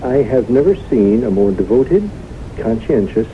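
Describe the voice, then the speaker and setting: Formal middle-aged gentleman suitor, earnest and courtly, old radio drama sound, dull with hiss. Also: mid-range voice, sing-song, a little slow. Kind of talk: 1970s radio drama acting. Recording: noisy muffled old radio broadcast recording.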